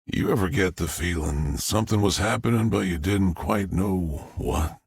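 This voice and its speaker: A man voicing an injured, weary man who muses slowly and quietly. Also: low-pitched, vocal fry, slightly rough, heavily acted. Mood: musing.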